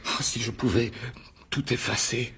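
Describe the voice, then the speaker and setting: An actor playing an unstable, lovesick stage actor in a radio mystery, husky, brooding and hesitant. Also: male voice, medium pitch, little pitch movement, measured pace, bright, forceful tone, full character voice. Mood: anguished, lovesick. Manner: brooding, hesitant, repeating himself. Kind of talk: French radio mystery drama acting.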